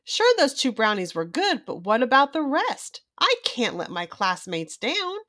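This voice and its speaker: An eager young boy shark who loves his treats, played by a woman reading a storybook. The voice is high and excitable, swinging up and down with enthusiasm. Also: measured pace, no room sound, clean studio sound, heavily acted. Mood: tempted. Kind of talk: children's storybook read-aloud.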